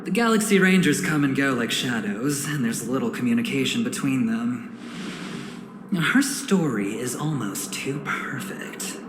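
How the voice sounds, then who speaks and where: An actor playing a suave, smug, scheming corporate insider in a video game, speaking theatrically with dramatic pauses. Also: male voice, mid-range voice, lively intonation, echoey room, background noise, lightly acted. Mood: persuasive, scheming. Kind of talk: video game cutscene dialogue.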